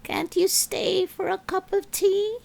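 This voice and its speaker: A woman voicing a calm, kindly older woman neighbour, gentle, soothing and encouraging, in a children's storybook. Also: very high voice, slow, gravelly, pitched above the performer's own voice, exaggerated voice. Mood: concerned. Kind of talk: children's storybook read-aloud.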